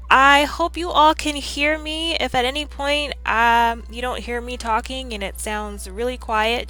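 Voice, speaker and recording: A young woman chatting casually on a live stream, upbeat and rambling, with a slightly hoarse, scratchy throat. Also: a little high, bright, forceful tone.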